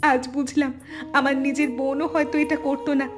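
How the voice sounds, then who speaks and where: A woman's voice: high-pitched, flat delivery, fairly quick, over music, natural voice. A woman playing a young wife and mother in a cartoon melodrama, anxious, hurt and pleading. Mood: tearful, remorseful. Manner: emotional, pleading.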